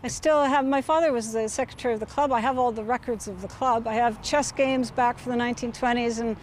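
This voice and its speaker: A woman explaining local history in a measured, informative way, with pauses, outdoors on a street. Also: fairly high pitch, fairly even intonation, slight background noise. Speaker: interviewee. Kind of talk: casual tour-guide explanation.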